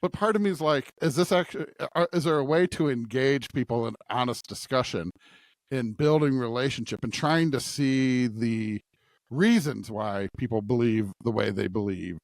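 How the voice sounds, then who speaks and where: A male podcast host with a medium-pitched voice talks through scripture in a conversational way. He works out his points as he goes and often checks in with a short questioning tag. The sound is dry, with little room around it. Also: normal speed, no echo. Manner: thinks aloud, often tags points with "right?".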